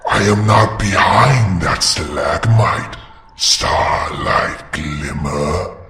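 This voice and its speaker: A man voicing a sinister, menacing cartoon creature with formal, drawn-out, sneering courtesy. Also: sits low. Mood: mocking menace. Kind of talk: cartoon villain acting. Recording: distant, heavily echoing, very noisy.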